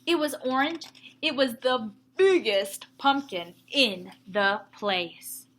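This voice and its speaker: A woman teacher reading a story aloud to young children, with expressive emphasis and explanatory asides. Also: fairly high pitch, slow pace, slight background noise.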